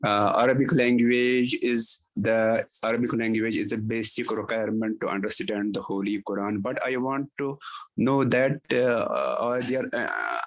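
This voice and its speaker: A man's voice: fairly low pitch, rough recording. Hesitant polite man asking. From Kashmir. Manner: hesitant, many fillers and restarts, formal and polite. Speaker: student asking a question. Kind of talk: asking a question in an online class.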